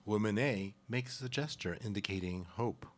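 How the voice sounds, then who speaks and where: A man's voice: on the low side, normal speed. A man reading scripted stage directions as the narrator of a radio play.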